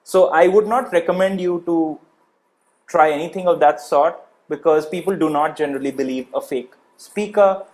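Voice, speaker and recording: A man's voice: a little high, moderate pace, darkish tone. A man coaching public speaking in an instructional lecture, explaining points emphatically and checking in with the listener.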